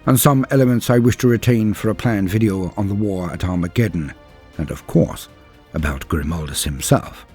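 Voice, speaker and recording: A man delivering dramatic scripted lore narration in a solemn, grave and measured storytelling manner. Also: deep voice, a little mellow, soft background music. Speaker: narrator and host of a lore channel.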